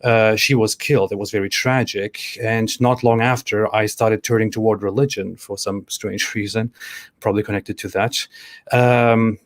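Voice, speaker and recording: A man from Germany, a guest on a video call interview, telling his story in a measured, hesitant way with filler "um"s. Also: on the low side, fairly even intonation, no room sound.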